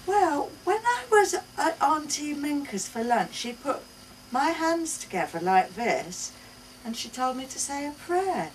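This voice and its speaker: A woman's voice: high voice, very animated, unhurried, voice pushed up. A woman voicing an inquisitive, insistent, chirpy little daughter in a short story read aloud. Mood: earnest. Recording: distant mic, some room noise.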